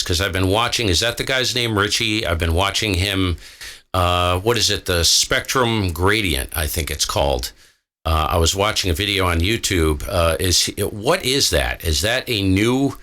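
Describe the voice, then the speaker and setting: A man's voice: low-pitched, bright, forceful tone. An American man, an amateur radio operator, chatting casually with an easygoing, colloquial manner. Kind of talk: casual chat over ham radio.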